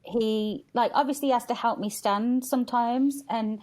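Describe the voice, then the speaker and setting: A woman's voice: fairly high pitch, fairly even intonation, normal speed, a little mellow. A woman talking candidly and casually as a podcast guest, chatty and acting out quotes as she tells stories. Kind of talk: candid casual interview chat.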